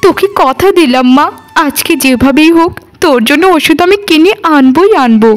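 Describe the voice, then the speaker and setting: A woman's voice: high-pitched, normal speed, faint music behind, lightly acted. A woman voicing a worried poor village mother in a cartoon, soothing and imploring. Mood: anxious, soothing. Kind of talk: Bengali cartoon dialogue.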